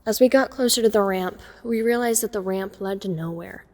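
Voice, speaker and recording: Woman as young female narrator, watchful, mellow tone, medium pitch, first-person audio drama storytelling. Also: brisk, close to an everyday voice. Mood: measured, suspenseful. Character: watchful young woman recounting a night break-in.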